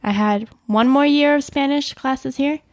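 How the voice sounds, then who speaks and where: Young woman, native Swiss German speaker, podcast co-host; chatty, slightly high, dry close sound. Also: a little slow, a little mellow, no echo. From German-speaking Switzerland. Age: young adult. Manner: chatty, laughs while talking, many fillers. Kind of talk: casual chat.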